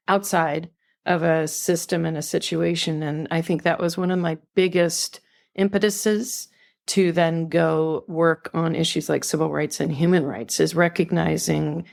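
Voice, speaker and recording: Older woman guest, low gravelly voice, earnest and reflective, raised in California. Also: fairly even intonation, a little slow, a little mellow. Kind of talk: conversational interview.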